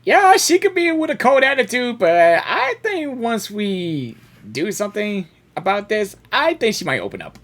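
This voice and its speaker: A woman's voice: medium pitch, sing-song, normal speed. A woman gamer giving casual reaction commentary, rambling, repeating words and exclaiming as she goes. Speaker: gamer reacting to a story quest. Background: game music.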